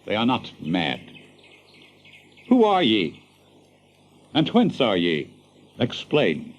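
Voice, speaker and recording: An actor playing a stern, commanding medieval lord, imperious and archaic in speech, in an audio drama. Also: male voice, lowish voice, wildly swooping pitch, slow, muffled recording, full character voice. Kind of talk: full-cast audio drama. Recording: loud outdoor noise with horses.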